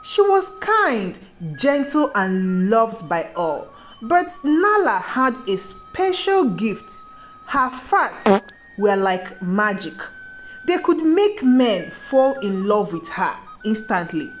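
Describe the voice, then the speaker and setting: A woman's voice: fairly high pitch, big pitch swings, slow pace, faint music behind. A woman narrating a scripted folktale as a storyteller.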